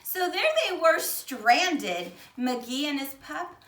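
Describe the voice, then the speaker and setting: A woman reading a children's picture book aloud in an expressive, deliberate way, with dramatic emphasis. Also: high-pitched, recorded from a distance. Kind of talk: animated children's storybook read-aloud. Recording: echoey, roomy sound.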